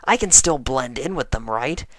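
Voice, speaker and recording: A man voicing a brash young female pegasus pony, proud but secretly soft, grumbling slangily in a fan fiction reading. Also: medium pitch, animated, moderate pace, no room sound, voice pushed up, natural voice. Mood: flustered, defensive. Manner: slangy, exasperated grumbling to herself. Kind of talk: first-person dramatic fan fiction reading. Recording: dry close voice over background music.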